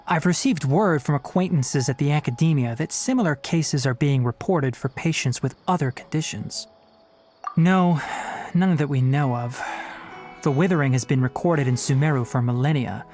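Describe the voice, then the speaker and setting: A man voicing a young, knowledgeable forest ranger in an anime-style video game, articulate and stern, explaining in a measured way. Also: fairly high pitch, a little mellow, lightly acted.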